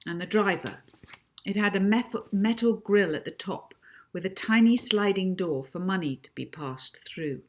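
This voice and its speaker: Low-pitched woman reading a children's novel. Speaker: author reading own novel. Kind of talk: scripted children's audiobook narration.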